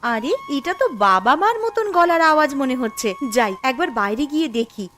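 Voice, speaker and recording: A woman's voice: sits high, very animated, a little fast, a bit bright, faint music behind. A woman voicing a busy young housewife and mother in a cartoon, practical and a little scolding. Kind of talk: cartoon voice acting.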